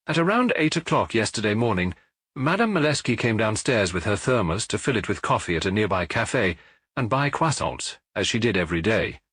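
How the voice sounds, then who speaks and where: A man reading an audiobook, voicing a middle-aged male police officer who gives his report in a measured, matter-of-fact way. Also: fairly low pitch, very animated, a bit bright, breathy edge, no room sound, heavily acted. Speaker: audiobook reader.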